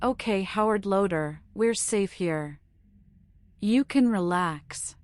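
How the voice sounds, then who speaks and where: An actress playing a composed, investigative writer in a noir video game, assured and matter-of-fact. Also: female voice, medium pitch, lively intonation, takes it slowly, dry recording. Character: female animal writer, composed, investigative, businesslike.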